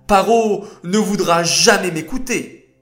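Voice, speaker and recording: A man voicing a modest, gentle shepherd hero in a children's story, earnest and pleading. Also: high-pitched, takes it slowly, voice pushed up.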